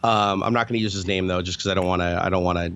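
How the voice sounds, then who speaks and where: A man's voice: sits low, fairly even intonation, rapid-fire, a bit bright. A man co-hosting a casual chat over a video call, talking in a profane, rambling way. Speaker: podcast co-host, former software tester. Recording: dull lo-fi call audio.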